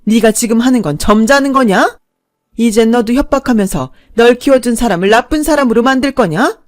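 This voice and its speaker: A woman voicing a shameless, nagging elderly stepmother-in-law who scolds loudly. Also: on the high side, animated, moderate pace, lightly acted. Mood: defiant. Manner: nagging, wailing, self-pitying.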